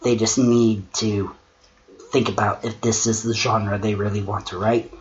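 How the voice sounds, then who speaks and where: A man voicing a sombre, deferential servant who reads and judges horror stories in a measured, solemn way. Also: lowish voice, flat delivery, natural voice. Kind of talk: dramatic horror reading and measured critique. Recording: muffled lo-fi mic with clear noise.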